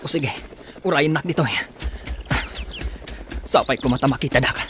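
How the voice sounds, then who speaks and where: A man's voice: mid-range voice, normal speed, noisy background, lightly acted. A man playing an anxious stranded castaway who pleads for rescue in a radio drama. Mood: desperate pleading.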